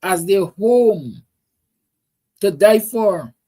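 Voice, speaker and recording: A man's voice: sits high, lively intonation, drawn-out words, dark tone, husky. A man with a Guyanese accent hosting a talk show, delivering an emphatic political monologue full of repetition and rhetorical questions.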